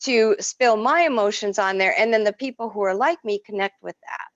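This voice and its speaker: A woman whose first language is French, an artist telling stories in an effusive, expressive way as an interview guest. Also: medium pitch, fairly quick, dry recording, highs cut off. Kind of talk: casual interview.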